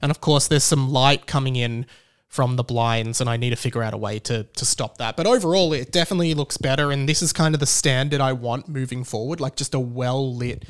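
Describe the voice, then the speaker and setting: A man's voice: medium pitch, brisk, smooth. A man hosting a podcast solo in a casual, chatty style, rambling and often interrupting his own sentences. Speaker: podcast host.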